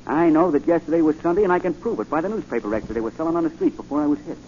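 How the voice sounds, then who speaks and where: An actor playing a brash streetwise drifter who argues in gruff slang, in 1940s radio drama style. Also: male voice, on the high side, very fast, a little mellow, lightly acted. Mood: insistent, irritated. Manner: slangy, gruff, argumentative tough-guy talk. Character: brash streetwise male drifter with uncanny foresight. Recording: muffled, hissy 1940 radio recording.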